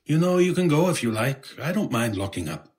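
A man's voice: a little high, sing-song, a little fast, a little mellow. A man playing a mild, nervy bookstore clerk with a hesitant, dryly joking manner in a radio drama. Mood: wry. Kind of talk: radio drama dialogue, conversational.

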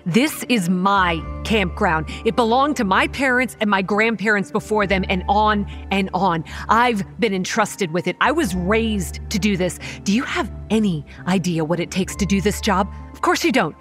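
A woman's voice: medium pitch, animated, normal speed, hardly any pauses, a bit bright, soft background music, close to an everyday voice. A woman playing a guarded, hot-tempered young campground manager who narrates and speaks in a horror audio drama, earnest with a sardonic edge. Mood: angry, defiant. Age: young adult. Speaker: narrator and lead character.

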